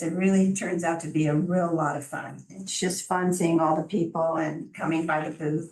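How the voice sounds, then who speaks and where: A soft-voiced woman presenting to a city council as a chamber of commerce director, friendly and informal, with small restarts. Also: on the low side. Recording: echoey council chamber from far off.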